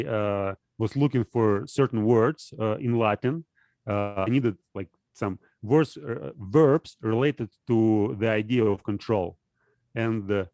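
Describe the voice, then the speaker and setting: A man, a poet-philosopher guest in a casual conversation, who talks haltingly, restarts often and searches aloud for words. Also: lowish voice, a little mellow, no echo, studio quality.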